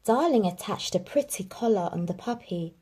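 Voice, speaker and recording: Woman narrator for a children's read-aloud, expressive and animated, slightly breathy. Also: mid-range voice. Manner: expressive storytelling with dramatic pauses. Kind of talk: reading a children's picture book aloud.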